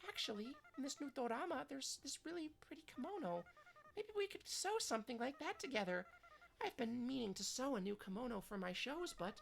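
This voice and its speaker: A woman voicing a sweet, eager young pop idol, soft and bubbly. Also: high voice, sing-song, fairly quick, vocal fry, full character voice.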